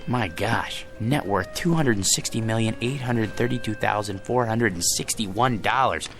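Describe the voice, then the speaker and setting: An actor playing a wealthy middle-aged businessman who is tired of his money, with a gravelly, wistful way of talking in a radio drama. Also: fairly low pitch, normal speed, music in the background, natural voice. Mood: weary. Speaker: wealthy businessman George Hanley.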